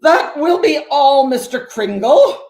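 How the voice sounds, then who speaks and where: A woman's voice: high-pitched, lively intonation, slow pace, a little mellow, exaggerated voice. Woman playing a brusque examiner, swooping and roomy. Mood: curt, irritated. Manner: brusque, officious. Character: snappish, nervous middle-aged woman personnel examiner. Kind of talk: 1940s radio acting. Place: live radio play stage.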